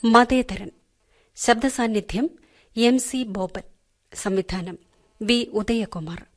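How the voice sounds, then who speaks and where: Woman announcer, slow, formal, reads credits. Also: fairly high pitch. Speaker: radio announcer. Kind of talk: reading broadcast credits.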